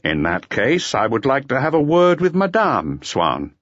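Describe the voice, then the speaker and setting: A calm, probing male detective, voiced by a man reading an audiobook speaks with formal politeness and lively inflection. The sound is dry and a little dull. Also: mid-range voice, a little slow, no echo, voice pushed up. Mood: polite request.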